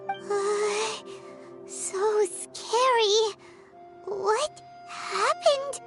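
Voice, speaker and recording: Childlike fairy sidekick, very high squeak, slow hesitant delivery, curious, video game. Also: female voice, music in the background, heavily acted. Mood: scared. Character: tiny childlike floating fairy companion, timid and curious.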